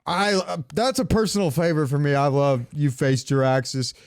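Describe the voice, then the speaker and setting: A man's voice: fairly high pitch, steady tone, normal speed, clear, resonant tone, no room sound. A man co-hosting a gaming podcast in casual chat, swearing now and then, close to the mic. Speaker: podcast co-host. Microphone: close podcast microphone.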